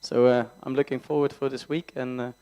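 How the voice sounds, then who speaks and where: Flat, clear-voiced young man. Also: medium pitch, brisk, highs cut off. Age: 20s. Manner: hesitant, filler-heavy. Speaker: youth football coach. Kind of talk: press conference self-introduction.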